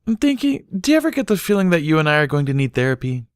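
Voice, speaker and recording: A man's voice: a little high, sing-song, fast talker, smooth, no room sound, studio quality, pitched above the performer's own voice. A man voicing a cheeky, conspiratorial little filly in a storybook reading, blunt and knowing. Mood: bemused.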